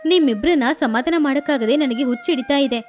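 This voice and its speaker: A woman's voice: sits high, fast, a little mellow, close to an everyday voice. A woman playing a fussing, protective mother. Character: middle-aged mother and wife, protective and scolding. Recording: faint background music.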